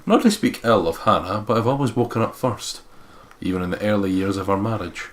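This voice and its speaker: A man's voice: fairly low pitch, fairly quick, slight background noise. A man hosting a let's play, reading visual novel narration aloud and breaking off into sweary, joking asides.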